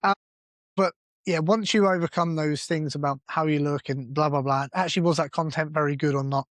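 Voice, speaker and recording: Brief: male podcast co-host, blunt and self-deprecating, slightly higher voice, dry close mic. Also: steady tone, brisk, fairly bright tone, dry recording. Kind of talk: casual podcast chat.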